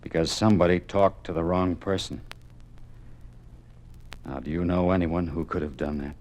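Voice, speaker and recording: A man's voice: low-pitched, fairly even intonation, normal speed, a little mellow, close to an everyday voice. An actor playing a grave, stern and weary father in a 1950s radio drama, on a noisy vintage recording. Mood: probing.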